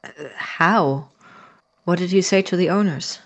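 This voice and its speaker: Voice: mid-range voice, a little slow, highs cut off, lightly acted. An actor voicing a wary, sceptical young friend of a scientist in an audio drama, questioning and reserved.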